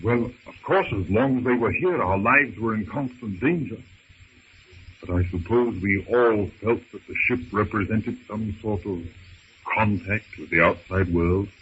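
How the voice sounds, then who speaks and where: A man acting a proper, earnest English gentleman castaway in an old-time radio adventure serial, with formal, theatrical phrasing. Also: on the low side, measured pace, close to an everyday voice. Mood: grave, reflective. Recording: dull, hissy old radio recording.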